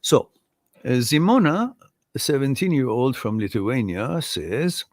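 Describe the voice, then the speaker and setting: A man's voice: medium pitch, sing-song, a little slow, dry recording, studio quality. A man hosting a live question-and-answer stream, reading out viewer messages and explaining his ideas step by step. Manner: thoughtful, repeats and builds ideas step by step, chuckles at mix-ups. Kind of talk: live Q&A talk.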